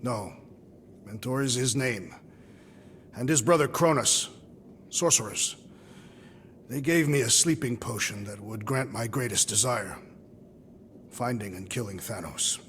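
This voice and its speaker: Brooding alien warrior, stately formal diction, solemn, video game, long echoing tail. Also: male voice, medium pitch, expressive, measured pace, long pauses, fairly bright tone, slight background noise. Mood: grave. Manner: formal, grave, literal-minded diction. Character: solemn, proud alien warrior haunted by revenge. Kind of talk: video game cutscene acting.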